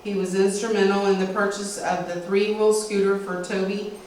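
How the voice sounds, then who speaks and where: A woman's voice: medium pitch, level tone, moderate pace, darkish tone. A middle-aged American woman reads a written family history aloud at a lectern. She is picked up from a distance in a very echoey indoor room, with faint noise underneath. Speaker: speaker at a local historical society event. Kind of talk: reading a prepared family history script aloud with occasional asides. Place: indoor meeting room with a small audience. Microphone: distant camera, speaker at a lectern.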